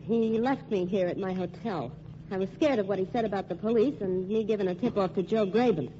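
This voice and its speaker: An actress playing a tough, guarded nightclub singer, with an American accent and curt, slangy, defiant speech in old-time radio drama style. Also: female voice, medium pitch, a little fast, dark tone, close to an everyday voice. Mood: grudgingly confiding. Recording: dull, noisy old radio recording.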